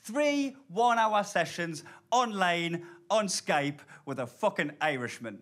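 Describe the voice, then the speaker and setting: High, lively Geordie comedian on stage. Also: male voice, slow, very noisy. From Blyth, northeast England. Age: 30s. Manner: animated, swearing, laughing storyteller. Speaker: stand-up comedian. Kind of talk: stand-up set. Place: live comedy club. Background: audience laughter.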